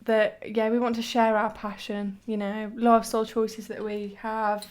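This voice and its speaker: A woman's voice: fairly high pitch, level tone, normal speed, a little mellow. A young woman co-hosting a podcast, agreeable and often stumbling over her words. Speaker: podcast co-host. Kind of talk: casual chat.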